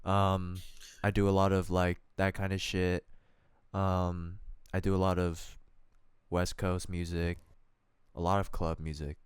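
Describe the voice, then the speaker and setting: A man's voice: deep voice, flat delivery, unhurried, smooth. A young man in his twenties, a native Korean speaker, co-hosting a podcast and talking in a laid-back, hesitant way with many fillers. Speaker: podcast co-host, DJ. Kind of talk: casual chat.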